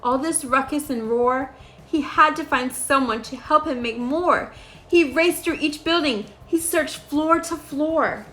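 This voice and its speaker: High-voiced woman reading a storybook. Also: fairly bright tone, slight background noise, rough recording. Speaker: storybook reader for children. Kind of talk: expressive rhyming storybook narration.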